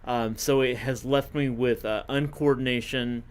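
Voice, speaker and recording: A man of fifty, a podcast guest, telling his own story in a casual, halting way with many fillers and self-corrections. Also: mid-range voice, steady tone, clean tone. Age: 50s.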